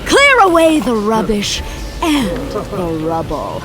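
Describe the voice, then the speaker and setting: High-voiced, imperious villain commander. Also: female voice, huge pitch swings, music in the background, full character voice. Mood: cold, demanding. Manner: haughty, slow, drawn-out commands. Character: imperious villainous female fleet commander, impatient and menacing. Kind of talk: sci-fi audio drama acting.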